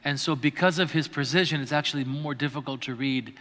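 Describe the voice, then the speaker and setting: A man with a bright, ringing, slightly high voice teaches an adult class in a large hall. His talk is relaxed and patient, and he keeps checking in with "right?" and "okay?". Long reverb from the hall trails after each phrase. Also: fairly quick. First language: not Greek. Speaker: priest teaching adult catechism. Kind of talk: conversational lecture to a class. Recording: reverberant hall on a livestream mic.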